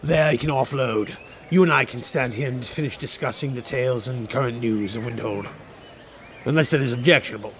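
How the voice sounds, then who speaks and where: A man's voice: medium pitch, fairly quick, fairly bright tone, close to an everyday voice. A man playing a rich, flamboyant goblin innkeeper in a fantasy radio drama, speaking with florid, theatrical courtesy. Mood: courteous.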